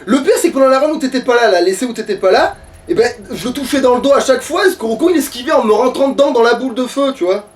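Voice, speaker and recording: A man's voice: extremely high pitch, animated, rapid-fire. A man chatting casually as he plays a video game, grumbling and swearing at it. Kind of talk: casual gaming chat with commentary on own play. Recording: distant mic with background noise.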